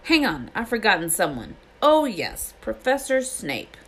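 A woman voicing a big, bearded, friendly gamekeeper man, proud and easily flattered, with rustic, blustering speech in a storybook reading. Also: medium pitch, a little slow, husky, pitched above the performer's own voice, full character voice. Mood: chatty, reassuring.

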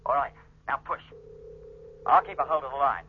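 A man acting a brisk, practical spaceship chief engineer who is quick to snap, in 1950s British radio drama style. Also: mid-range voice, close to an everyday voice. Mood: careful, firm. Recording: dull, noisy 1950s radio recording.

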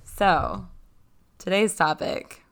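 A young woman hosting a podcast, chatting and teasing in a wry, brisk way and reading quiz questions aloud. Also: on the low side. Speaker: podcast host.

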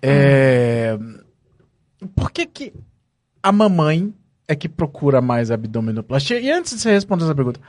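A man, a doctor co-hosting a podcast, chatting casually with quick jokes. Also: mid-range voice. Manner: animated, jokey, quick asides.

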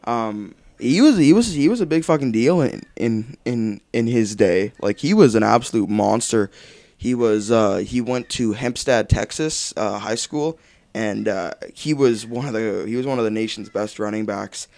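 A man's voice: on the low side, normal speed, vocal fry, a little mellow, no room sound. A young man co-hosting a casual sports podcast, talking loosely and swearing now and then. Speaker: podcast co-host.

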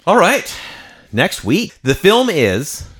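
Man, podcast co-host, lively pitch, playful chat, repeats phrases. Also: slow, husky. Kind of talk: casual banter.

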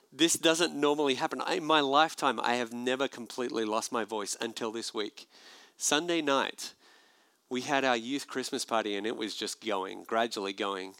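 Male pastor, gravelly mid-pitched voice, casual sermon delivery, dry close sound. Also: no room sound. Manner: conversational, animated preaching with asides.

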